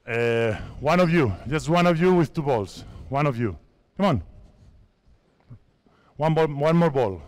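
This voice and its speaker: A basketball coach from Spain in his fifties, a native Spanish speaker, talks players through drills in a big indoor sports hall. His voice sits a little high, and he speaks slowly and deliberately in short, instructive commands. Basketballs bounce in the background. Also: animated. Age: 50s. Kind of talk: coaching clinic on court.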